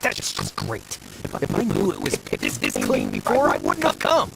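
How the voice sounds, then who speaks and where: A pompous, greedy treasure-hunting scholar from a video game. His voice is fairly high and creaky, swinging hugely in pitch as he talks theatrically over music. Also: wildly swooping pitch, moderate pace, very noisy, heavily acted. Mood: indignant. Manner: pompous, theatrical, hesitant sighing. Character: haughty greedy male treasure-seeking scholar. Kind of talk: video game character dialogue.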